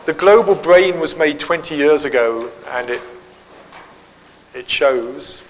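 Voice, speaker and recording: A man leading a conference session, answering questions and reflecting in a calm, gently humorous way. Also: a little high, slow. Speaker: workshop leader. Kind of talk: conference Q&A and talk. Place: conference hall. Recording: hall reverb, faint ambient noise.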